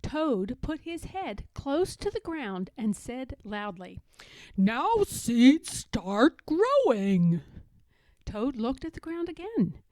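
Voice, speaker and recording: A woman narrating a children's storybook aloud as an expressive storyteller. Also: high-pitched, a little slow, hardly any pauses, dry recording.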